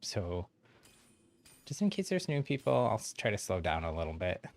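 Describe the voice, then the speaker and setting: Low, creaky-voiced man streaming casually. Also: sing-song, moderate pace. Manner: chuckles often while talking; friendly, encouraging to beginners. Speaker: game streamer. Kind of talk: casual livestream chat explaining a game to newcomers.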